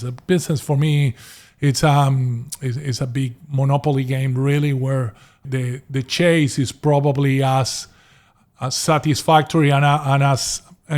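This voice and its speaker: A man's voice: mid-range voice, a little mellow. A middle-aged man from Venezuela with a mixed Latin American, American and Australian accent, telling his story as an interview guest with frequent restarts and fillers. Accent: Venezuelan Spanish, mixed with American and Australian. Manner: restarts and repeats words, many fillers. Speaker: guest, property investor.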